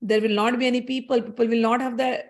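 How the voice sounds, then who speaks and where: A proud male moon god who lectures and scolds the other gods, as a woman storyteller acts him out. He speaks high and haughtily. It sounds like a storybook myth. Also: level tone, quick pace, lightly acted. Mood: stern.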